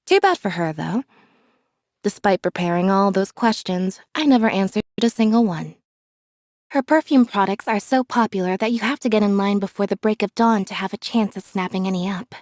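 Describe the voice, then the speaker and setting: Woman acting a calm, deadpan young magician's assistant, dry recording. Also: medium pitch, normal speed, no echo, lightly acted. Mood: calm, detached. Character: reserved, observant young female magician's assistant. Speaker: video game character voice. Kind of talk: scripted character voice lines.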